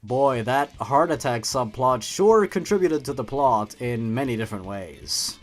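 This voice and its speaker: A man's voice: medium pitch, expressive, measured pace. A man hosting a scripted review show, commenting in a theatrical, sardonic way. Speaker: host of a story-reading review channel. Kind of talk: scripted commentary with dramatic reading.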